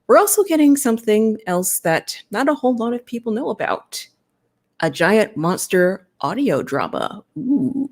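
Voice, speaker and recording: A woman's voice: on the high side, sing-song, normal speed. A woman hosting a podcast, chatting casually as she interviews a guest. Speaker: podcast host.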